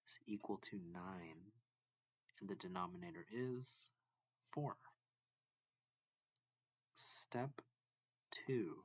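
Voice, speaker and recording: A man reading a math lesson aloud as a teacher, deliberately and step by step, phrase by phrase. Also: lowish voice, no room sound, dull audio. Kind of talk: slow read-along lesson narration.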